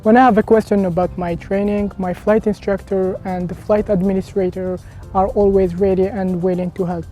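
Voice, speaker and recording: A man from Algeria, a student pilot, speaking in a steady, rehearsed testimonial. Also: high-pitched, moderate pace, faint music behind. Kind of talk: testimonial interview.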